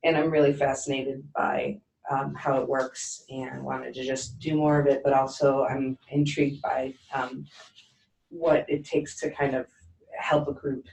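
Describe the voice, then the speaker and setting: A woman's voice: extremely low pitch, level tone, unhurried, creaky, a little mellow. A woman from Chicago hesitantly introducing herself to a training group, with lots of ums and easy laughs. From Chicago, USA. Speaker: training participant. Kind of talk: casual self-introduction. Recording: echoey room, distant mic.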